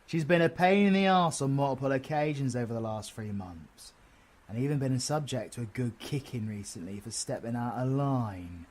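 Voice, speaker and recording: A man plays a swaggering British supervillain in an audio drama. He is sardonic and sweary, full of slang and teasing, with affection under the bluster. His pitch sits in the middle of the male range. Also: normal speed, a little mellow, natural voice. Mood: earnest, low-key. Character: cocky, sardonic male supervillain, foul-mouthed but affectionate. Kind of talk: audio roleplay drama acting.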